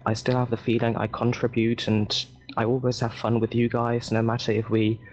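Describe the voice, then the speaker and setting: A man with an English accent, raised in Germany, talking earnestly and haltingly in a casual voice chat with his gaming guild. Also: medium pitch, completely flat delivery, darkish tone. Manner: earnest, halting, many restarts. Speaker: guild member. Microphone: headset over voice chat.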